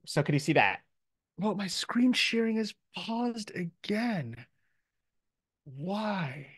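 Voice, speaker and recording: A man's voice: medium pitch, creaky, no echo. Casual American male professor, very dry sound. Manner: casual, colloquial, explanatory. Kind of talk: informal online lecture. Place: video call, close dry sound.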